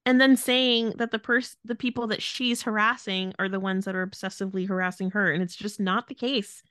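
A woman's voice: mid-range voice, fairly even intonation, fairly quick, a bit bright, dry recording, studio quality. A woman co-hosting a podcast, chiming in with casual commentary. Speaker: podcast co-host.

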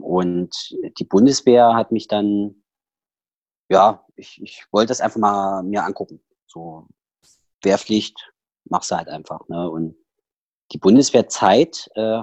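A man's voice: fairly low pitch, darkish tone, no echo. A man with a Saxon accent, a podcast guest talking casually over a headset, rambling with many fillers and restarts. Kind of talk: casual personal interview talk.

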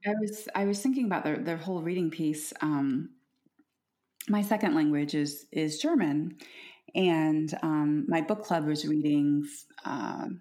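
A woman's voice: low voice, a little slow. A woman interviewer in casual conversation, chatty and affirming as she shares stories and asks questions. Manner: warm, affirming, chatty storyteller.

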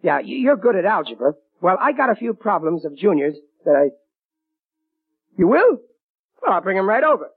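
Excitable new working stiff dad, husky high voice, swoops all over, old muffled radio show. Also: male voice, normal speed, long pauses, exaggerated voice. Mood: excited. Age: middle-aged. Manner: excitable, stammering. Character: blustering, proud, hapless working-class family man. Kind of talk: 1940s radio comedy acting. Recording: dull vintage 1940s radio recording.

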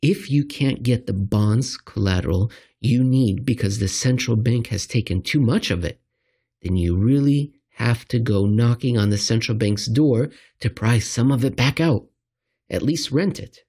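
Guy w a husky voice reading out a finance commentary, average pitch, leans on words for emphasis. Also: a little slow, dark tone. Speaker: reader of a written commentary. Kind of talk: read-aloud financial commentary.

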